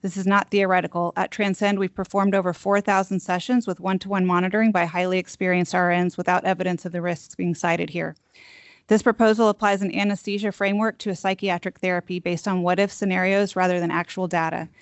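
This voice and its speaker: A soft-voiced woman, a registered nurse, reading prepared public testimony in a firm, steady manner. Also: lowish voice, brisk, no room sound, highs cut off. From Texas. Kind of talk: prepared testimony read aloud.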